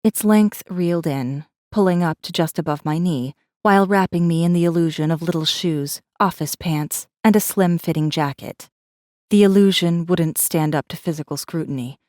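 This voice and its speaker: A woman reading a first-person story as an audiobook narrator, with measured, dramatic delivery. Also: deep voice, darkish tone, dry recording, studio quality.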